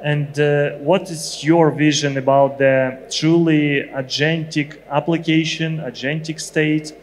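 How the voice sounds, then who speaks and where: Male stage interviewer from Ukraine, slightly high voice, halting phrasing, echoing hall with faint noise. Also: fairly even intonation. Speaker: interviewer, government ministry representative. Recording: reverberant hall with background noise.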